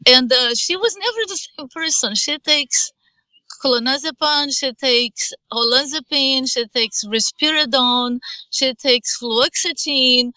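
Middle-aged woman, Brazilian Portuguese accent, earnest testimony, bright tense tone, dry close sound. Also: sits high, no room sound. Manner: halting, earnest, with frequent restarts. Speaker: mother giving testimony. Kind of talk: personal testimony.

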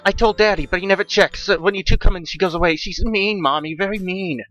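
A man's voice: sits high, fast, fairly bright tone, highs cut off, faint music behind, pitched above the performer's own voice. A man voicing a frightened, pleading little girl in an audiobook horror story. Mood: fearful. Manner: panting, pleading. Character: terrified little girl pleading with her parents.

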